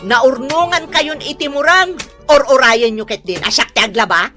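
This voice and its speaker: A woman's voice: high voice, normal speed, pushed and bright, faint music behind. A woman acting a strict, nagging mother in an Ilocano radio drama, scolding with emphasis. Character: strict nagging mother laying down household phone rules.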